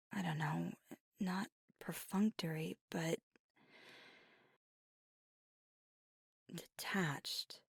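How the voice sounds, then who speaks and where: Female actor as werewolf girlfriend for ASMR roleplay; hushed, husky with some fry, guarded and tender, very dry close mic. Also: lowish voice, no echo, exaggerated voice. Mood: pensive, hurt. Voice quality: breathy, often near a whisper. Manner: halting, hushed, confessional, with pauses. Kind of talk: intimate ASMR audio roleplay. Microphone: close microphone, dry.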